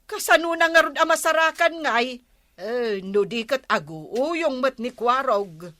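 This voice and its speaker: Actress as bright, sharp-voiced village councilwoman. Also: high voice, lively intonation, unhurried, no echo, studio quality, exaggerated voice. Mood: incredulous. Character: skeptical, bossy older unmarried village councilwoman. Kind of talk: comedy radio drama acting.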